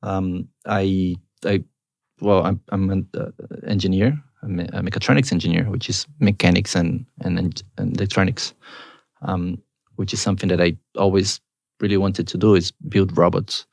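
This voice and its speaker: A man in his thirties from Argentina, a startup co-founder answering interview questions in a hesitant way, with many pauses and restarts. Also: low voice, flat delivery, creaky, a little mellow, no room sound, studio quality.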